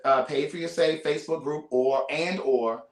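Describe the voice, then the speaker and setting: A man with a somewhat high voice tells stories in an animated, playful way, wandering into asides as he goes. He hosts a casual conversation. The sound is roomy and echoey. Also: moderate pace. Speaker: host. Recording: echoey room.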